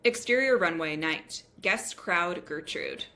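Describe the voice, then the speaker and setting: A woman's voice: lowish voice, animated, takes it slowly, bright, forceful tone. A woman reading stage directions as the narrator of a scripted table read.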